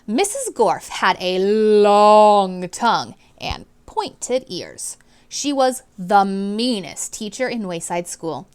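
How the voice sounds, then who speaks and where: A woman reads aloud as a teacher would to young children. Her mid-pitched voice moves up and down in an animated way. She leans on key words for drama and addresses her listeners directly. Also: slow, smooth. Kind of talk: expressive children's read-aloud with questions to listeners.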